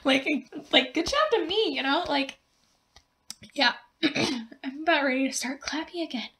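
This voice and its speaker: Young woman, bright high-ish voice, hoarse, rambling casual game voice-over. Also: animated, measured pace. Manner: rambling, giggly, lots of fillers. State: voice going hoarse. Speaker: gaming video creator.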